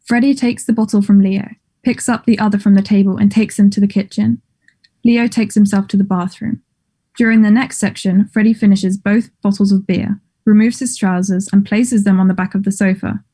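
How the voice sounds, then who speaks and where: Female narrator, mellow, medium-pitched, reads scripted stage directions. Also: steady tone, brisk.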